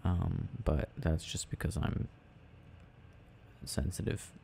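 Man as drowsy gamer boyfriend: tender, hesitant murmur, mellow and very gravelly, intimate ASMR delivery. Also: low-pitched, expressive, extremely slow, a little hiss or hum, full character voice. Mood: apologetic, soft. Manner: murmuring, dropping into whispers, hesitant self-talk. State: sleepy. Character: sleepy, tender, sensitive boyfriend rambling while gaming. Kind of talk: soft intimate ASMR roleplay, unhurried rambling.

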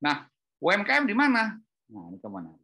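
A man's voice: high voice, a little fast, slightly rough. Middle-aged man explaining on a call. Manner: animated storytelling, acts out dialogue. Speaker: speaker at an export training webinar. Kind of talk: informal explanatory talk with analogies. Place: indoor room on a group video call.

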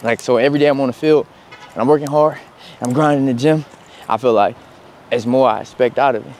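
A young man, chatting casually to viewers in a slangy, relaxed way as he walks through a store and a parking lot. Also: medium pitch, quick pace, slight background noise. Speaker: vlogger. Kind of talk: casual vlog chat. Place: outdoors in parking lots and inside a big store.

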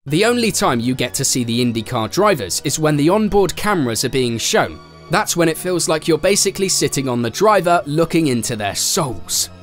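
Male voice-over narrator, slightly high and very animated, dry sarcastic wit, soft music bed. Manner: sarcastic, playful asides. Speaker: narrator of a motorsport explainer channel. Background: faint background music.

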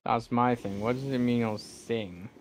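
A young man narrates his gameplay in a loose, rambling way, often restarting sentences and swearing when things go wrong. English is his second language, and his voice sits in an ordinary middle pitch. Faint game sounds run behind him. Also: steady tone, a little slow, background noise. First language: not English. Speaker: gameplay streamer. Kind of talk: casual let's-play commentary.

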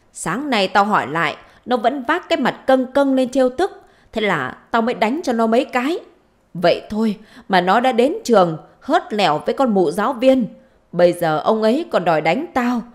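A woman's voice: mid-range voice, lightly acted. A woman voicing a spiteful, foul-mouthed middle-aged village wife in a story, scolding, wailing and taunting.

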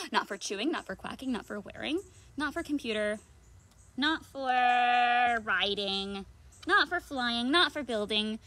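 A woman's voice: on the high side, expressive, measured pace, bright, forceful tone. A woman in her thirties hosting a children's read-aloud outdoors, theatrical and playful, full of questions. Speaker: children's librarian, storytime host. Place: outdoors in a leafy backyard.

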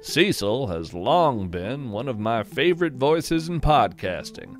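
A man's voice: mid-range voice, big pitch swings, a little slow, faint music behind. A man hosting and narrating a scripted audio-fiction podcast in a wry, dryly understated way.

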